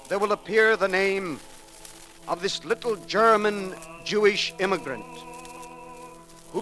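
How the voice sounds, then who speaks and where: Slow, high-voiced solemn male orator. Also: fairly bright tone. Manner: solemn, oratorical, measured phrases. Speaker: eulogist at a memorial service. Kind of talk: formal 1930s radio eulogy. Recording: 1939 radio recording with faint music.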